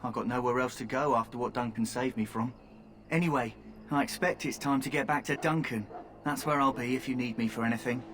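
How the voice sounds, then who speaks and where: A man's voice: mid-range voice, steady tone, a little fast, fairly bright tone, a little hiss or hum, close to an everyday voice. A man voicing a cheeky young thief recruit in video game dialogue, with a teasing manner. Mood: conspiratorial.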